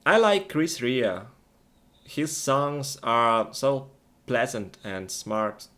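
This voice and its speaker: Man, mid-pitched, simply worded. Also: animated, very slow, a bit bright. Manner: short, simple, plainly worded sentences. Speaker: English lesson co-host. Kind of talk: conversational question-and-answer for learners.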